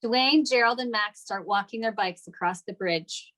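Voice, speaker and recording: A woman serves as narrator at a script table read, reading out the action in scripted narration. Her voice is mid-pitched. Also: moderate pace, fairly bright tone. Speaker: narrator of stage directions.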